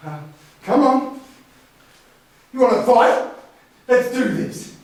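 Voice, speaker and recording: Guy playing a hurt but defiant brother in a stage play, slow high voice, really echoey and far from the mic. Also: expressive, heavily acted. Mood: challenging. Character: wounded, defiant grown son confronting his bullying brother. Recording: very echoey hall, distant mic.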